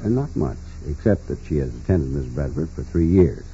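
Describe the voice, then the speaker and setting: Dignified judge, very mellow and dark, lively intonation, courteous, 1940s radio drama. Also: male voice, rumbling low. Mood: measured, hesitant. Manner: measured, hesitant, courteous. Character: dignified, formal older male judge. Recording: muffled, noisy 1940s radio recording.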